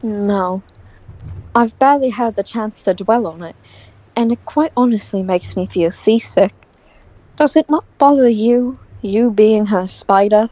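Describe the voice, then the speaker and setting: A woman's voice: medium pitch, a little slow, warm tone, lightly acted, rough recording. A woman voicing a proud, easily exasperated young aristocratic boy in an audio drama, with a dry, formal, indignant way of talking. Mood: dry, guarded.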